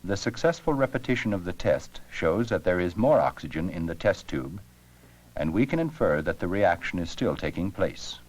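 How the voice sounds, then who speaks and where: A man narrating an educational science film with scripted, measured, explanatory delivery. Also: low-pitched, big pitch swings.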